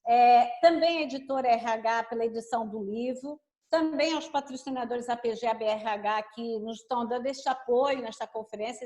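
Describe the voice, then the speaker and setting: Earnest high-voiced woman from Angola. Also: darkish tone, reverberant. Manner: earnest, with many "não é?" tags. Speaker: book co-author. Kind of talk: speech at a web conference.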